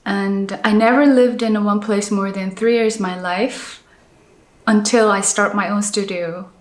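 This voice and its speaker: A middle-aged Korean woman with a Korean accent, an architect talking about her life in an interview. She speaks in a halting, thoughtful way with many restarts and soft fillers. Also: medium pitch, steady tone, roomy sound. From South Korea. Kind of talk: reflective interview conversation.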